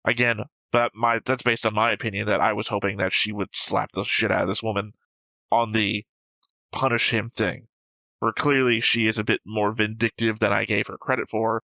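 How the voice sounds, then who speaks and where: A man's voice: fairly low pitch, level tone, normal speed, creaky, bright, forceful tone, no echo, low-quality recording. A man co-hosting a reaction show, talking through his opinions in casual, argumentative discussion. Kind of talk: casual discussion and episode commentary.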